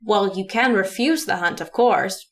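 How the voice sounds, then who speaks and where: A woman's voice: medium pitch, a little slow, lightly acted. An actress playing a chatty, officious and obliging woman clerk in a radio drama, fussily repeating herself.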